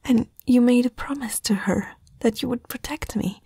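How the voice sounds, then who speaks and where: A woman voicing a young princess, proud yet uneasy, in a hesitant breathy whisper for an ASMR fantasy roleplay. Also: on the high side, warm tone, no echo. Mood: anxious, questioning. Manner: hesitant, slow, intimate.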